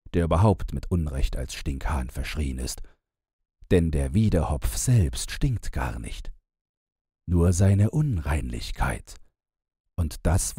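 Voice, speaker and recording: Male bedtime-story narrator: low, husky, unhurried, wry, scripted fairy-tale reading. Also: warm tone, no room sound, clean studio sound. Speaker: audiobook narrator.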